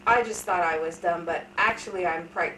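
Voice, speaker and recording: A woman's voice: on the low side, normal speed, a bit bright. A woman in her thirties chats openly and laughs at herself while she tells family stories. Her voice sounds a little distant and bounces around an echoey room. A faint hiss sits underneath. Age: 30s. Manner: chatty, candid storytelling with self-deprecating humor. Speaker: interviewee. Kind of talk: casual family interview.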